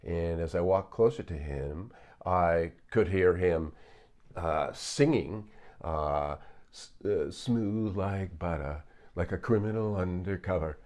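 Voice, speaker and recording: An older man commenting on video clips as a psychologist, in a measured way with frequent pauses and fillers. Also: deep voice, darkish tone.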